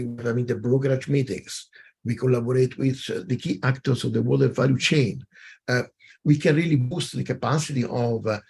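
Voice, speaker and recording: A man runs a webinar, talking through his slides in a halting way, full of fillers and restarts. His voice is gravelly and a little husky. He is on a video call. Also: medium pitch, darkish tone. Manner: hesitant, many fillers and restarts. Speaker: webinar moderator. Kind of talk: webinar presentation.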